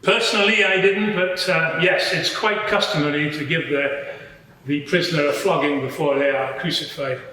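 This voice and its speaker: A man's voice: fairly high pitch, bright, forceful tone. Man acting a Roman centurion in an echoey courtroom. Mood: matter-of-fact. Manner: hesitant, measured, explanatory. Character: Roman centurion witness, matter-of-fact, shaken soldier. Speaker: witness at a mock inquiry. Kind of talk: semi-improvised courtroom testimony. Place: large reverberant courtroom. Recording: very echoey hall, distant mic.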